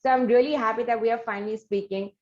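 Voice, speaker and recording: A woman's voice: fairly high pitch, fairly even intonation, fast, lo-fi sound. A woman with an Indian accent hosting a conversational interview. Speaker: interview host.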